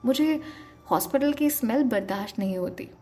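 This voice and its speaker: A woman voicing a stubborn but affectionate older sister, gently firm, in an audio story. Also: fairly high pitch, expressive, normal speed, lightly acted.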